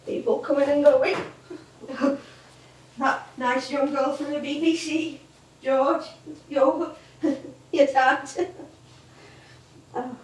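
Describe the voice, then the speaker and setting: Casting: actress as ageing British music hall star, fragile, theatrical, slow delivery, heard from afar in an echoey theatre. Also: female voice, high-pitched, flat delivery. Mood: wistful. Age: older. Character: ageing music hall comedienne, confused, haunted by voices. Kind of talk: theatrical stage acting. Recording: echoey theatre stage, distant mic.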